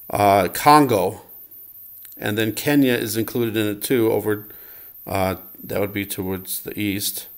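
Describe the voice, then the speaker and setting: A man vlogging in a casual, unscripted way, commenting as he goes in a hesitant, rambling manner and often correcting himself. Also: medium pitch.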